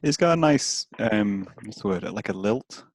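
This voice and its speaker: A man's voice: fairly low pitch, expressive, unhurried, no room sound, clean studio sound. A man with an English Midlands accent chatting casually as a podcast host, hesitant, with lots of fillers and restarts. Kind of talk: casual chat.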